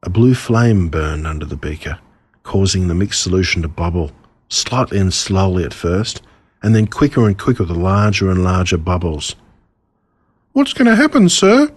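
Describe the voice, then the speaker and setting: An Australian man reading a scripted children's storybook in a dramatic, deliberate way, relishing the gruesome parts. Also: low-pitched, darkish tone, breathy edge. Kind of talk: scripted storybook narration told as a boy.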